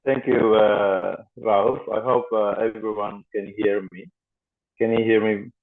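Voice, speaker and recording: Guy from timor-leste presenting on a zoom call, slow and hesitant, tinny phone-like sound. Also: on the low side, level tone, creaky. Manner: hesitant, many fillers. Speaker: guest speaker. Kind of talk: presentation over video call. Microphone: video call.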